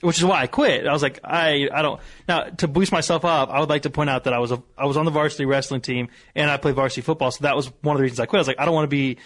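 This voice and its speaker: A man's voice: on the high side, steady tone, rapid-fire, hardly any pauses, fairly bright tone. An American man telling a casual, confessional story in a self-deprecating manner.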